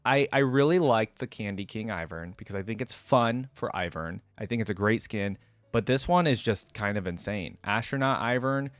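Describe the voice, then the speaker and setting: A man's voice: lowish voice, lively intonation, normal speed, no echo. A man hosting a reaction video in a casual, enthusiastic, informal way, commenting and reading aloud.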